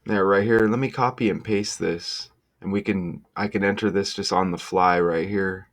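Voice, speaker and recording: A man presenting an online video in a casual, off-the-cuff way, halting and emphatic, often checking in with the listener. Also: sits low, fairly even intonation. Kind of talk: casual off-the-cuff explainer with numerology.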